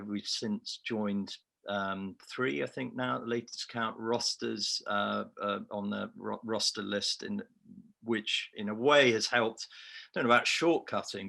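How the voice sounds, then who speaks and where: A man's voice: on the low side, creaky, pushed and bright. A man with a Liverpool accent, an award-winning researcher, giving a reflective, self-deprecating answer in a remote interview. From Liverpool, England.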